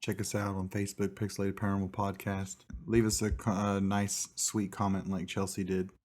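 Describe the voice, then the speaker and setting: A man's voice: low-pitched, flat delivery, normal speed, darkish tone, a little hiss or hum. A man co-hosting a podcast, speaking casually. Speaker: podcast co-host. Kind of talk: casual social media plugs.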